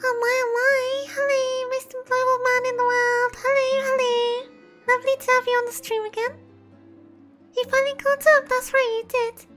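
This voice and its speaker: A woman's voice: extremely high pitch, level tone, moderate pace, over music. A woman VTuber chatting casually on a livestream in a cutesy, gushing way, repeating her phrases. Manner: gushing, repetitive greetings, cutesy. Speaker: VTuber streamer.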